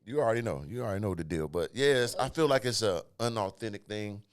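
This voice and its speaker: A man hosting a podcast in casual interview chat, animated and slangy, slipping into playful voices. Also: lowish voice, fast, clear, resonant tone. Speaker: podcast host.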